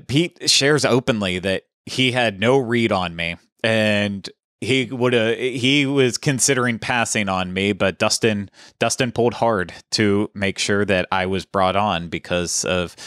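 A man in his thirties chatting casually as a podcast producer, with a flat, monotone delivery into a studio mic at home. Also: on the low side, smooth, no echo. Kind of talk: casual chat. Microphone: studio mic on boom arm.

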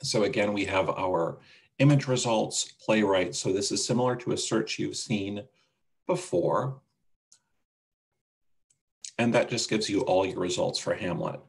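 A man presenting a casual software walkthrough on a video call, explaining things step by step. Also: lowish voice, measured pace, vocal fry, pauses often. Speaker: webinar presenter demonstrating a website.

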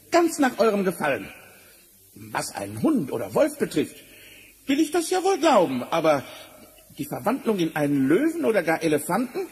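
A man playing a sly, bold talking cat in a fairy-tale audio drama, theatrical and full of flattery. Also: high-pitched. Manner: theatrical, flattering, mock-amazed. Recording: reverberant hall, noisy vinyl transfer.

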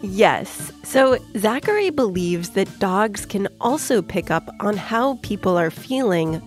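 Woman hosting, lively pitch, music underneath. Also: slow pace. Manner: clear simple explaining, addressing young listeners. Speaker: podcast host. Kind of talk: conversational kids' science podcast explainer.

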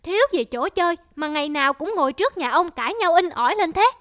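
A man's voice: very high-pitched, animated, fast talker, fairly bright tone, dry recording, clean studio sound. A man playing a grumpy, fussy old shopkeeper in a cartoon, scolding and grumbling. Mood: irritated scolding. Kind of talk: cartoon character acting. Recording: music under the voice.

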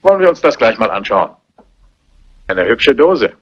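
An actor playing a courteous, controlled family doctor with a sinister edge in a crime film. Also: male voice, mid-range voice, very animated, normal speed, a bit bright, husky. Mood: probing, controlled. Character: smooth-mannered, guarded male family doctor with sinister edge. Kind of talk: German dubbed crime film dialogue. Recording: dull, muffled old film soundtrack.

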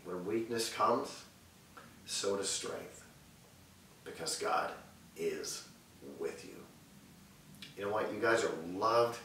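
A man in his thirties preaching and praying earnestly from a sofa in a living room, measured and repetitive in his phrasing. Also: lowish voice, sharp tone, distant mic. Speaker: pastor. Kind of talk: sermon and prayer. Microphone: far camera mic. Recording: echoey room audio with faint hiss.

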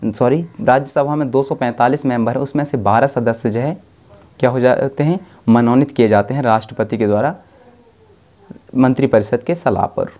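A young man lectures to camera from a dim room at a desk, using a clip-on mic. His voice is mellow and his pitch sits in the middle. He lays out each point patiently, restarting and correcting himself as he goes, and the recording sounds a little muffled. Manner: explains slowly with self-corrections and restarts. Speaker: civics tutor. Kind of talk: exam-prep lecture to camera.

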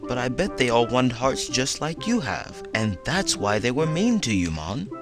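A man plays a cheerful wishing-well creature in a children's show, with a Jamaican accent. His delivery is laid-back and encouraging, yet the pitch jumps around with plenty of animation. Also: big pitch swings, moderate pace, over music, natural voice. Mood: friendly, reassuring.

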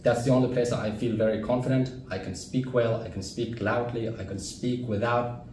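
A man's voice: mid-range voice, fairly even intonation, normal speed, a little mellow. A young man from Afghanistan, an ESL student, answering interview questions earnestly and openly, sometimes searching for words, seated in a classroom. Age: young adult. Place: classroom with chalkboard, seated at a desk. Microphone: camera at a distance. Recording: roomy, distant, faint hiss.

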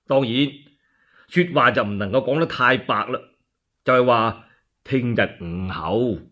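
A man telling a story for radio in colloquial Cantonese, dramatic and animated, with chatty asides to the listeners. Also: medium pitch, a little slow. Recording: dull lo-fi radio recording.

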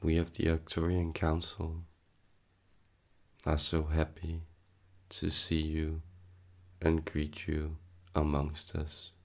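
An extremely low-voiced man guides a meditation at a very slow pace. His delivery is solemn and hypnotic, broken into short phrases with a steady, nearly monotone line. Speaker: channeler leading a guided meditation.

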